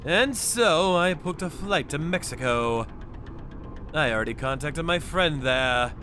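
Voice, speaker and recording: A male actor voicing a stern, brooding avenger who narrates a manga dub in a deliberate, dramatic way. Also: a little high, expressive, bright, forceful tone, soft background music. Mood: resolute. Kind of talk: dramatic scripted first-person narration.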